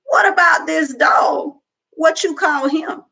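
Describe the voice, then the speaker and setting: A woman voicing a kind old toothless woman, slow and high. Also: gravelly, bright, forceful tone, raised voice, heavily acted, rough recording. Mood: folksy kind. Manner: slow drawl, high-pitched.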